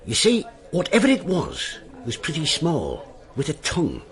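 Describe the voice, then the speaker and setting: A brisk, animated police detective. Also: male voice, on the high side. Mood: urgent, wary. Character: brisk male police detective inspector hunting a relic. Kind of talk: radio drama acting.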